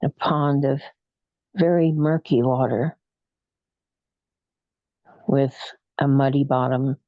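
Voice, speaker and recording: A person leading a guided meditation in a soothing, unhurried, hypnotic manner with frequent pauses. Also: mid-range voice, steady tone, dry recording, lo-fi sound. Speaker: guided imagery meditation leader.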